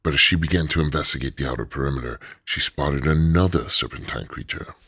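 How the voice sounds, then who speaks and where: Very deep, husky radio drama narrator. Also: male voice, fast talker, no room sound, studio quality. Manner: steady, low storytelling delivery.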